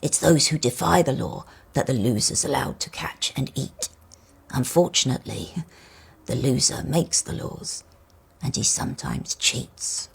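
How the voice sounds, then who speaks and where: A woman voicing an old rusty male toy car in a storybook, grave and wise, croaky, explaining things slowly and ominously. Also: rumbling low, animated, pitched below the performer's own voice, full character voice.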